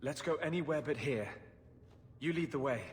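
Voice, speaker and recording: A man's voice: on the high side, normal speed, lightly acted. An actor playing an exasperated, impatient male mage in a video game. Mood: urgent. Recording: long hall reverb, background noise.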